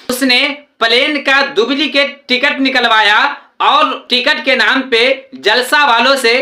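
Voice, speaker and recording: A woman's voice: on the high side, sharp tone. A woman presenting a video with scripted explanatory narration. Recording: roomy echo on voice.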